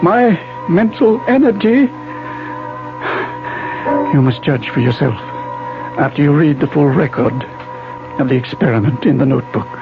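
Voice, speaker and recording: Wounded professor in old 70s radio play, halting dramatic telling, muffled with big music. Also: male voice, on the high side, lively intonation, vocal fry. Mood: weary, halting. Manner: dramatic, halting first-person narration. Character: gravely wounded male professor recounting a violent attack. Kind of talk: 1970s radio drama acting. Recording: 1970s radio recording, muffled, music behind. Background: loud dramatic music.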